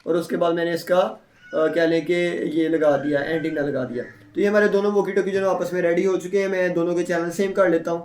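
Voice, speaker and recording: A man's voice: sits high, steady tone, a little fast, darkish tone. A man giving a casual, step-by-step product unboxing and demonstration, often checking in with small tag phrases as he explains. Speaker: product reviewer. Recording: echoey room.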